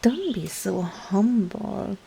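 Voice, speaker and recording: Woman playing a soft, creaky, coaxing witch. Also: mid-range voice, animated, slow, a little hiss or hum, exaggerated voice, rough recording. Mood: teasing. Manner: soft, breathy, often whispering, coaxing. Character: sly, coaxing female witch herbalist with potions. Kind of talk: ASMR roleplay.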